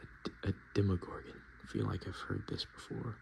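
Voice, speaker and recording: A man softly speaking and whispering in a casual, murmuring ASMR style, as host showing items to the viewer. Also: low voice, level tone, fairly quick, creaky, poor audio. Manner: hushed, casual murmured asides. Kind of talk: ASMR, softspoken and whispered card show-and-tell.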